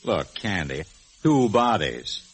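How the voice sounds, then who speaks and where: An actor with an American accent playing a wry, plain-spoken police lieutenant in a 1940s radio detective drama. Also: male voice, sits low, big pitch swings, slow, loud music behind the voice, heavily acted. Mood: wry, curious.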